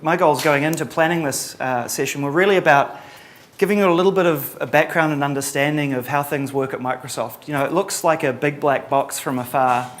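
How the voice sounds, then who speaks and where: A middle-aged man from New Zealand with a Kiwi accent, giving a relaxed conference talk with lots of ums and a dry sense of humor. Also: on the high side, fairly quick, few pauses. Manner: casual, many ums and uhs, dry humor. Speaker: conference presenter.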